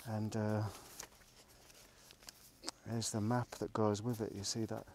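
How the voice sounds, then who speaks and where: A man's voice: on the low side, flat delivery, slow pace, darkish tone. A middle-aged man chatting casually while cycling forest trails, rambling with self-talking asides, recorded outdoors on a small camera mounted on his bike frame. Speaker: cycling vlogger. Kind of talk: casual narration while riding. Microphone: small pocket camera mounted on the bike frame. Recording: noisy outdoor camera audio.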